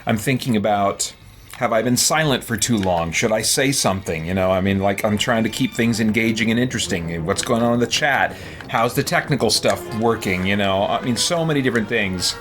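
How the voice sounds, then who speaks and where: A man's voice: on the low side, fairly quick, fairly bright tone, faint music behind. A man hosting a casual gaming live stream, chatting and thinking aloud as he plays.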